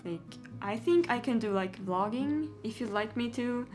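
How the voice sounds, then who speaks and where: Young girl rambling to camera, giggly, lots of likes, soft guitar music under it. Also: a little high, expressive, normal speed. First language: Swedish. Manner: chatty, giggly, lots of "like" and "yeah". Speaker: vlogger. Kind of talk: casual Q&A chat to camera.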